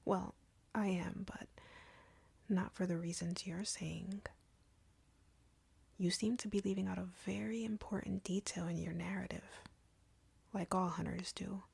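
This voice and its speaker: A woman playing an arrogant, cruel, flirty female vampire captor in an audio roleplay, taunting in an intimate, breathy, husky whisper. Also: mid-range voice, steady tone, darkish tone, no room sound, heavily acted. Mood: bored, accusing. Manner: taunting, slow, deliberate, drawling.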